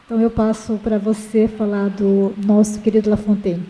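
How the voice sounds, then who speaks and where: A woman with a Brazilian accent moderating a panel, reading a formal academic introduction in a halting way with self-corrections. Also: medium pitch, flat delivery, dark tone, slight background noise, rough recording.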